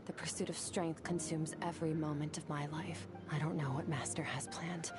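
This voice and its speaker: A woman voicing a reserved young swordswoman in a video game, speaking in a quiet, measured way that often drops to a half-whisper. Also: low voice, steady tone, over loud music, close to an everyday voice. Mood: somber.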